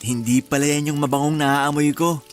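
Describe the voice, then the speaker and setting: An actor playing a playful, affectionate young husband in a radio drama. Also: male voice, on the high side, fairly even intonation, normal speed, soft background music, natural voice. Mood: teasing. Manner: teasing and affectionate.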